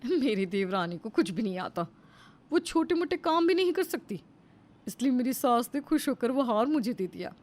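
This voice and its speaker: Elder daughter-in-law, acted by a woman: boastful, crafty, chatty, lively high voice for moral story. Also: normal speed.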